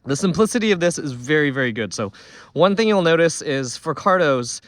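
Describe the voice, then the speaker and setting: A man's voice: fairly high pitch, lively intonation, a little fast, no room sound. A man giving a casual, unscripted product review, thinking aloud in a conversational way as he goes.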